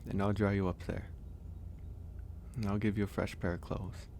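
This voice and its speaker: Very deep, gentle werewolf played by a man. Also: level tone, vocal fry, a little hiss or hum. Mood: gentle, caring. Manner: gentle, hesitant, reassuring, pausing often. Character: gentle male werewolf, caring stranger nursing a guest. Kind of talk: ASMR audio roleplay, soft and intimate.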